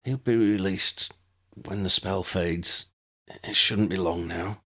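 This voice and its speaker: A man voicing a weak, remorseful young wizard who is dying, in a hoarse croak, for an audiobook. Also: low voice, fairly even intonation, takes it slowly, bright, forceful tone, full character voice.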